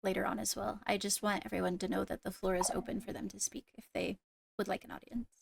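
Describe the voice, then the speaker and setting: A woman playing a caring, earnest ruler in an improvised Minecraft roleplay, speaking in a measured, hesitant, reassuring way. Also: medium pitch, little pitch movement, vocal fry, noisy background. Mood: concerned, reassuring. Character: caring, earnest female ruler holding court. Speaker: player leading a roleplay court.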